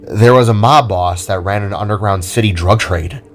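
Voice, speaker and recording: A man's voice: fairly low pitch, normal speed, a little hiss or hum, lightly acted. A man playing a hornless young spark creature, a self-conscious and earnest vigilante, in an audio drama. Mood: candid, musing.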